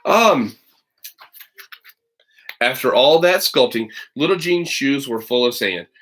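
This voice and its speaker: Man reading a storybook aloud, chatty and animated. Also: mid-range voice, slow. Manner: animated, chatty, breaks off for playful asides. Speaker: teacher reading aloud to children online. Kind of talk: expressive storybook read-aloud with casual asides.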